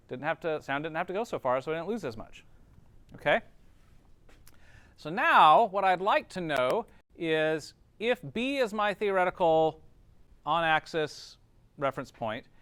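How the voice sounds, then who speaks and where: Clear-voiced male teacher, close dry sound. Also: on the high side, fairly bright tone, no room sound. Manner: informal, checks understanding with short tag questions. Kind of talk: classroom lesson, explaining step by step.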